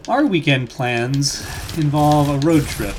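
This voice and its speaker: A man's voice: on the high side, animated, slow pace. A man chatting casually while playing a video game on a home livestream, laughing often and reacting to the action. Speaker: gaming streamer and father. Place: home room. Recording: noisy home stream audio.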